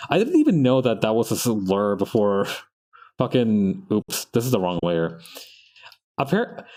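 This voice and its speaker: A man's voice: mid-range voice, warm tone. A young man whose first language is Spanish, chatting in a rambling, casual way while he draws on stream, often breaking off mid-sentence and laughing. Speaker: artist streaming practice.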